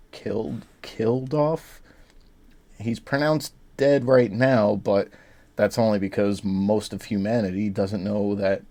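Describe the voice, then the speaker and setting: Stuffed-up man with a low voice. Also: a little mellow. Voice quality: slightly raspy. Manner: easygoing, rambling with frequent fillers. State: stuffed up and sniffly from allergies. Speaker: reaction video host. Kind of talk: casual chat to camera.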